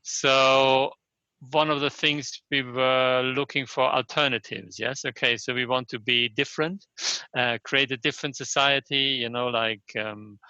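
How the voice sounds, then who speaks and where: An older German-accented man gives thoughtful interview answers in a very bright, forceful voice. He pieces sentences together haltingly and keeps tagging them with a questioning yes. The sound is close and dry but somewhat lo-fi. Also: mid-range voice, steady tone, smooth, no room sound. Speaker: interview guest, Chinese medicine practitioner and scholar. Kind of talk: reflective conversational interview answers.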